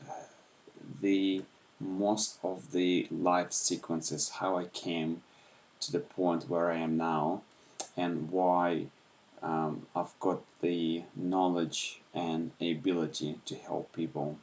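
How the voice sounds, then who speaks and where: A man from Ukraine speaks in a Russian accent, his voice very low. He talks softly and unscripted with many ums and restarts, recorded at home in the early morning. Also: little pitch movement, takes it slowly, low-quality recording. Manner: speaks softly with frequent hesitations. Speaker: YouTuber. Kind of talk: unscripted livestream talk.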